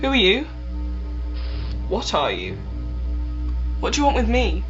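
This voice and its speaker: A woman's voice: fairly high pitch, wildly swooping pitch, unhurried, lo-fi sound, soft background music. A woman playing a frightened but feisty travelling companion in a fan audio drama. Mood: wary, questioning.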